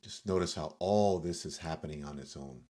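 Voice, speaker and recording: Deep-voiced man guiding a meditation. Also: mellow. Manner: measured, instructing, with short phrases and pauses. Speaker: meditation guide. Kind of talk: guided yoga nidra meditation.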